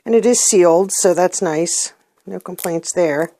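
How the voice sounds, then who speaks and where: A middle-aged woman with a hoarse voice from a cold, chatting casually as she reviews beauty products and often pauses with ums. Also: lowish voice, warm tone. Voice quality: hoarse, clears her throat. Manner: chatty, plainspoken, hesitant with ums. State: getting over a cold, losing her voice. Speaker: unboxing vlogger.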